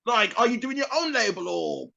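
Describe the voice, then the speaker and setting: A male host bursts in with excitable, animated reactions, his voice swooping lively up and down. His tone is bright and forward, though the audio sounds dull and slightly distant. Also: high voice, normal speed, gravelly. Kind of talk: interview questions.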